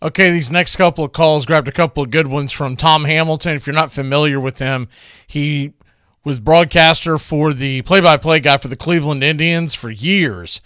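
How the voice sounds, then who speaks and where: A man's voice: fairly high pitch, normal speed, fairly bright tone, clear, resonant tone, no echo, studio quality. A man hosting a sports radio show, talking casually and reflectively about baseball like a devoted fan. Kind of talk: casual baseball commentary introducing archive calls.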